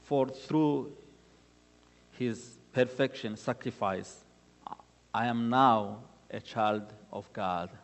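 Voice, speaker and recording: A man with a Rwandan accent gives an earnest church testimony in deliberate, short, halting phrases. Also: mid-range voice, vocal fry. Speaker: guest speaker giving testimony. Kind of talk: church testimony with scripture readings. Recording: reverberant hall with long echo.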